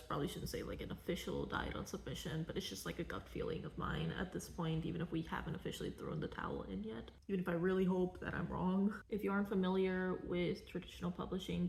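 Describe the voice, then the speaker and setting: A young woman from Venezuela, a cartoonist, talking in a soft-spoken, rambling, candid way in a casual personal vlog. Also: on the low side, vocal fry. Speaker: vlogger, cartoonist. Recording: echoey room.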